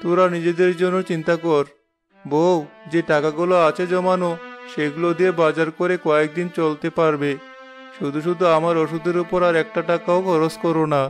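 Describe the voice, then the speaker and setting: An ailing, resigned father from a village fairy-tale cartoon, played by a man. The voice is slightly high for a man and stays very flat and subdued. Soft music plays behind it. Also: normal speed, natural voice.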